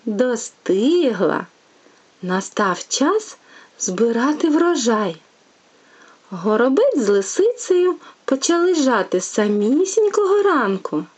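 A woman storyteller reading a fairy tale to a small child, gently and expressively, speaking directly to the young listener. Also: a little high.

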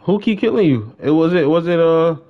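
A young adult man chatting casually as he streams a game, slangy and excitable, often repeating his phrases. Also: fairly high pitch, fairly quick, highs cut off. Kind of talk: casual livestream gaming chat.

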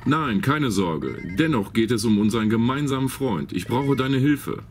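A man plays a scheming master villain in a spoof radio drama. He orders people around with a mid-pitched voice that rises and falls expressively. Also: expressive, normal speed, soft background music, lightly acted. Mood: conspiratorial. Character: scheming villain boss, a minister plotting world domination. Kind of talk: parody detective radio play.

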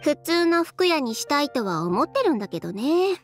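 A confident young tailor in a Japanese game. The voice is high and lively in pitch, with a matter-of-fact, no-nonsense delivery. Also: female voice, moderate pace, faint music behind. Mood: composed, businesslike. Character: poised, businesslike young woman fashion designer, direct and confident. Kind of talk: Japanese video game voice acting.

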